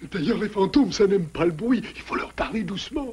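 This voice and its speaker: A man voicing a timid, jittery henchman in a French animated cartoon, with a husky voice. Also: high voice, brisk, heavily acted. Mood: cautious. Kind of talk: French animated series dubbing.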